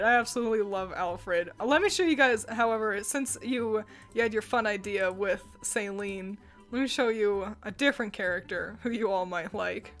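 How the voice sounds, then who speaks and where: A young woman chatting casually as co-host, gushing with excitement and laughing often. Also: fairly high pitch. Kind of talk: casual chat.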